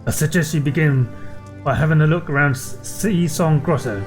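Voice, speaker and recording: A man's voice: a little high, moderate pace, close to an everyday voice. Male reader as a seafaring farm boss handing out quests, old-fashioned wording, game music behind. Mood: cautioning. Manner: folksy, old-fashioned phrasing. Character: gruff, worried farm boss and former sailor. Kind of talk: reading quest dialogue aloud.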